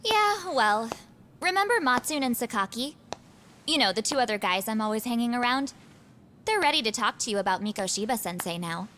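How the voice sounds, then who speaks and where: A woman's voice: on the high side, big pitch swings, a little fast, sharp tone, a little hiss or hum. A woman voicing a cocky teenage schoolboy in a video game dub, wheedling and slangy with mock politeness. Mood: sly, casual. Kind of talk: video game cutscene English dub acting.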